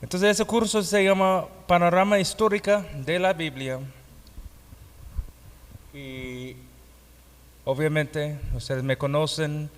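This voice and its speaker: A man with a foreign accent, not a native speaker, teaching a class as a pastor with halting phrasing and grammar slips. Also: a little high, fairly even intonation. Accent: foreign, non-native Spanish. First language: not Spanish. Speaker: pastor and Bible course teacher. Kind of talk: classroom lecture, explaining a course. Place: classroom or hall with students present. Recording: echoey hall with long reverb.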